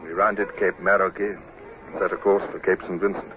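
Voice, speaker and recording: A man's voice: sits low, little pitch movement, normal speed, close to an everyday voice. An actor playing an earnest young British naval captain who tells his tale in a radio drama, a little hesitant. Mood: earnest recounting. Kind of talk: first-person radio drama narration. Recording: noisy, tinny old radio recording.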